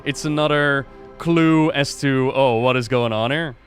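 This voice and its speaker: A man giving casual, excited and conversational reaction commentary as a gaming lore creator. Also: mid-range voice, measured pace, smooth, soft background music.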